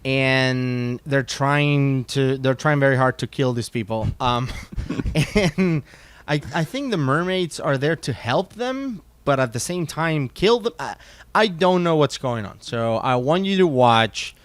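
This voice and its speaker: A man hosting a casual chat, animated and quick to jump in with questions. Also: mid-range voice, clean tone, no room sound. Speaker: host.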